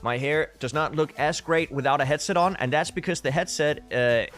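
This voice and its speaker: A man's voice: fairly high pitch, normal speed, fairly bright tone. A man, chatting casually and commentating as a live esports caster, with dry, tongue-in-cheek humour. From Denmark. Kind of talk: casual live stream chat with game commentary. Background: music.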